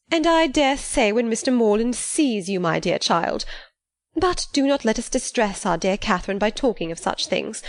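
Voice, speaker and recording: A woman reading an audiobook, voicing a fond, fussy middle-aged mother who rambles on with flattery. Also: on the high side, no room sound, studio quality, pitched above the performer's own voice, lightly acted.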